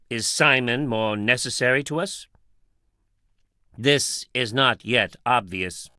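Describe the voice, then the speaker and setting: Casting: middle-aged game patriarch, solemn and portentous, bright forceful tone, very dry recording. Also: male voice, medium pitch, no echo. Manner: solemn, portentous, formal stilted phrasing. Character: grave, brooding town patriarch guarding his wife's memory. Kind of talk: dramatic video game voice acting.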